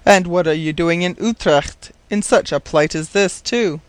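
A man voicing a kindly, absent-minded old professor in a storybook, speaking gently, thoughtfully and with some hesitation. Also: high voice, unhurried, no room sound. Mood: concerned, pitying.

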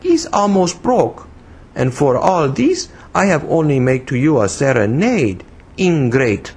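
A man acting out a pert young woman of a storybook tale, her childish voice pitched up high. She has a Spanish accent and teases and pouts in broken English, with lively swoops. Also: unhurried, slightly rough, full character voice. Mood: accusing, pouting.

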